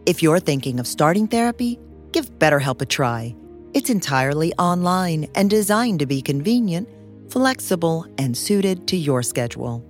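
A woman reading a scripted advertisement with a smooth, friendly delivery. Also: low-pitched, big pitch swings, normal speed, soft background music. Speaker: ad reader.

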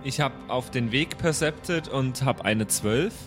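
Male game player over clear music. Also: medium pitch, measured pace. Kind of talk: casual improvised role-play chat.

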